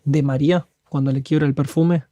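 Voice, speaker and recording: A man from Argentina speaks with a broad Argentine Spanish accent and a voice pitched a little above the usual for men. He preaches in an earnest, fervent, conversational way and slips into prayers as if speaking them aloud. The sound is dry and close, with no room in it. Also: steady tone, fairly quick, mellow, dry recording. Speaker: guest speaker.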